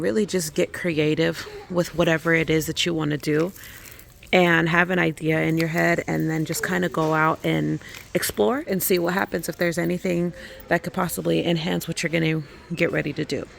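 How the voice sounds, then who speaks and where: Hoarse, croaky-voiced woman vlogging. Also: low voice, a little hiss or hum. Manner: chatty, many fillers like um and like. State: hoarse, losing her voice. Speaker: skincare channel host. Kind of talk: casual shopping vlog walkthrough. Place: craft store aisle.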